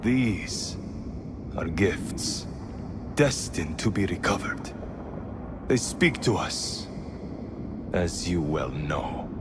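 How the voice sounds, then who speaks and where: A man's voice: sits low, expressive, drawn-out words, pauses often, very noisy, full character voice. A man playing an arrogant, fanatical villain in a video game, speaking in a grandiose, theatrical way. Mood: obsessed. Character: arrogant, fanatical male villain craving ancient forbidden relics. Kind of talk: dramatic video game cutscene acting.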